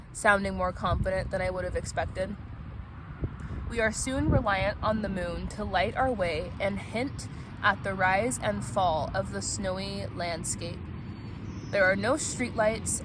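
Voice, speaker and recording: A woman speaking in a playful, casual way as a vlog host, chatting and reading aloud. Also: medium pitch. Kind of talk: casual vlog chat and novel read-aloud. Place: outdoors at a picnic spot. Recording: noisy outdoor recording with traffic ambience.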